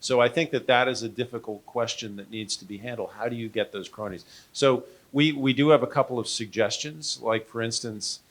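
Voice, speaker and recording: A man speaking off the cuff on a discussion panel, haltingly and with frequent filler phrases. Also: medium pitch. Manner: halting, frequent "you know". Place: live panel event before an audience.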